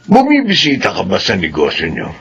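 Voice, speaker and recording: A man's voice: deep voice, huge pitch swings, fairly quick, vocal fry, fairly bright tone, lo-fi sound, slight background noise, exaggerated voice. An actor playing an affectionate, chuckling elderly Filipino father and grandfather in a radio drama. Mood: gently concerned. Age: older.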